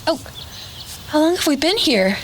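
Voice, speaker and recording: A woman's voice: high-pitched, very animated, normal speed, slight background noise. A woman playing an anxious, tender young survivor in a radio drama, wistful and earnest in her delivery. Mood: relieved, curious.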